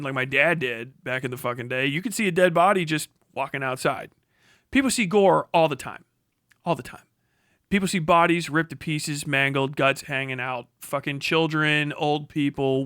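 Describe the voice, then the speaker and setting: A man's voice: on the high side, pushed and bright, no echo. An American man in a blunt, combative, profane livestream rant, speaking unscripted into a close mic. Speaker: livestream commentator.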